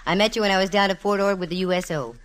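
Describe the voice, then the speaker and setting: A woman's voice: medium pitch, very fast, close to an everyday voice. An actress playing a witty, self-assured female private detective in a 1940s radio drama, with wry, teasing banter. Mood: teasing, breezy. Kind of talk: 1940s radio detective acting with first-person narration. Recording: vintage 1940s radio, music behind.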